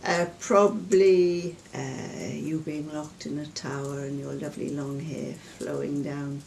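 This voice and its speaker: An older woman in a casual family interview, speaking gently and reflectively and laughing softly. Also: low voice, fairly even intonation, measured pace, vocal fry, slight background noise. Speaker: interviewee, relative recalling bedtime stories.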